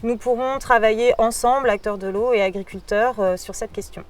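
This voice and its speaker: A woman giving a prepared, measured testimonial as a programme coordinator. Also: on the high side, fairly even intonation, far from the mic. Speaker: local water and agriculture programme coordinator.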